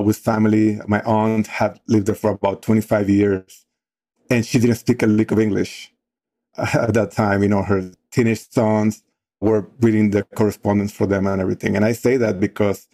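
Low-voiced man with a Dominican accent. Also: warm tone. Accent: Dominican Spanish. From Dominican Republic. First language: Spanish. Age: 40s. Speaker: guest. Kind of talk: casual storytelling.

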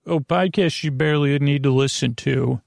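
Male sleep podcast host, higher-pitched and gravelly, halting, whimsical rambler, close dry recording. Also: dry recording. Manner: meandering, halting, digressive; gently whimsical, playing out imagined exchanges.

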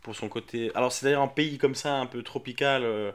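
A man, a comedian guest on a podcast, talking in a playful, animated way, laughing often and acting out little scenes. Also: medium pitch, brisk. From Geneva, Switzerland. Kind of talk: casual podcast chat.

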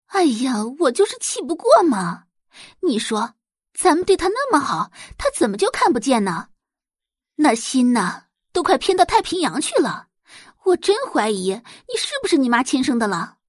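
A spiteful, pampered rich wife, acted by a woman in an audio drama. She whines and sneers in a high, very animated voice with a husky edge, full of exclamation and scorn. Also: moderate pace, no echo, clean studio sound, exaggerated voice. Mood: whiny, exasperated. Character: petulant, spiteful wealthy wife, scornful gossip.

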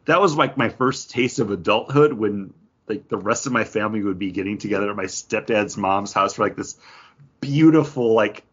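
Low-voiced, muffled American man chatting. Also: moderate pace, a bit bright, husky. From western New York, United States. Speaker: podcast co-host. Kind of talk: casual conversational chat. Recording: dull, lo-fi audio.

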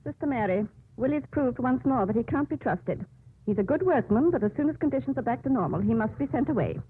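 Measured Mother Superior, tinny radio. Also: high voice, expressive, breathy edge, exaggerated voice. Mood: composed. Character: kindly, wise Mother Superior of a convent hospital. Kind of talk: 1950s radio drama acting and narration. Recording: dull, muffled 1950s radio recording.

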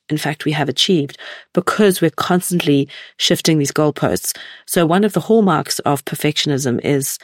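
A woman in her forties with a croaky voice from a cold, hosting a reflective solo podcast and musing gently with many hesitations. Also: sits low, no echo, clean studio sound. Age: 40s. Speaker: podcast host.

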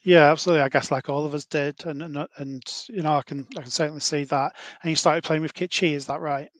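A man's voice: fairly high pitch, steady tone, fast, dry recording. A man in his thirties hosting a casual podcast interview, asking long rambling questions with many fillers. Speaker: podcast host.

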